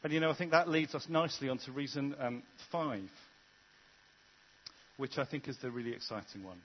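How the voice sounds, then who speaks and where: A man's voice: mid-range voice, a little fast, dull audio, a little hiss or hum. A man preaching a sermon in an earnest, conversational way, often restarting his sentences. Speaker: vicar.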